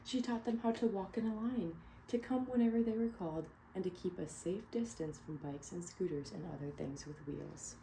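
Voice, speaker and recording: A woman reading a picture book aloud to children, pausing to ask them questions. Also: lowish voice, slight background noise, rough recording. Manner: gentle, asks children questions. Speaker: read-aloud storyteller.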